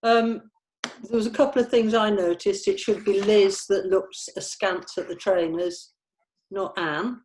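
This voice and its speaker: A woman's voice: mid-range voice, fairly even intonation, a little slow, a little mellow, slight background noise, rough recording. A woman reading out stage directions at a script table read.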